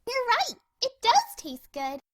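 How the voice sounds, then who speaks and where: A woman's voice: very high voice, very animated, a little slow, noisy background, full character voice. A woman voicing a gleeful, gluttonous young cartoon pony with a childlike, squealing, eager delivery for a story reading. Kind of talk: character voice in a fan fiction reading.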